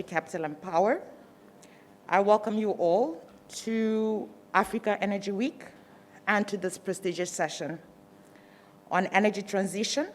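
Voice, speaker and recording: A woman conference director giving a formal, measured welcome from a stage. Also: medium pitch, slight background noise.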